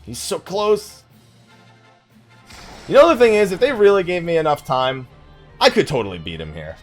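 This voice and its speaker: A man's voice: a little high, big pitch swings, normal speed. A man doing casual let's play commentary as a streamer, talking half to himself as he plays. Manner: talks to himself while playing. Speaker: video game streamer. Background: game music.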